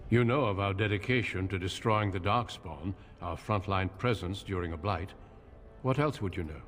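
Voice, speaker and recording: An actor playing a courteous, grave veteran warrior in a video game, speaking in a formal, measured way. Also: male voice, sits low, a bit bright, faint music behind. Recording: reverberant hall, noisy game ambience.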